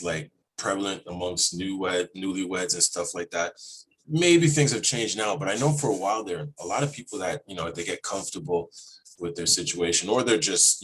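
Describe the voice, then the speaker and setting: A man with a husky voice hosting a podcast, chatting and telling stories in a casual, animated interview style. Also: low-pitched, slight background noise, low-quality recording. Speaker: podcast host interviewing a guest.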